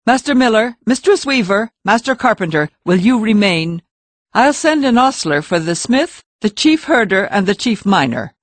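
A woman's voice: medium pitch, sing-song, normal speed, dry recording. An actress playing a formal, commanding woman village elder in an audiobook.

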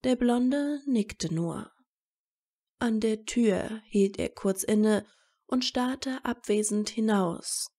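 A woman's voice: a little high, drawn-out words, dark tone. A woman narrating a scripted story.

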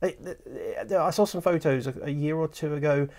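A man's voice: on the high side, expressive, fairly quick, darkish tone. Chatty male host in a studio. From England. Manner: eager, chimes in, laughs along. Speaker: host, audiobook producer. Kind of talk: casual interview chat. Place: small studio set with sofas. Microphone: lapel mic.

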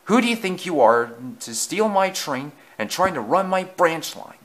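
A man's voice: mid-range voice, flat delivery, slow, fairly bright tone. A man voicing an indignant, protective tank engine in a children's story, bellowing and scolding. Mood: furious.

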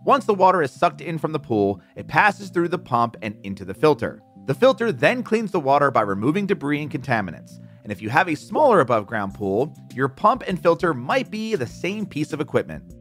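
A man giving scripted how-to narration in a clearly enunciated explainer voice. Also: on the high side, very animated, a little fast. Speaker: instructional narrator. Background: soft background music.

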